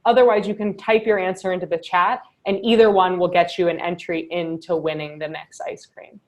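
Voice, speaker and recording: A woman's voice: on the low side, fairly even intonation, a little fast. A woman hosting a journaling workshop over a video call, talking casually with many fillers and "right?" asides. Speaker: workshop host.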